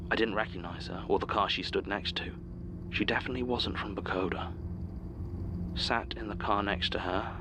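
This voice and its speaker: A man's voice: deep voice, creaky, background noise. A man playing an uneasy small-town witness giving a subdued, matter-of-fact statement in a horror audio drama.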